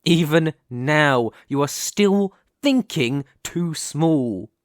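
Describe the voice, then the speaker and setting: Man voicing a young male mafia boss, possessive, coolly persuasive, condescending, unhurried delivery, close dry sound, ASMR roleplay. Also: a little high, animated, dry recording. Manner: theatrical, deliberate, condescending, with pointed pauses. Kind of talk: ASMR roleplay, dramatic monologue to the listener.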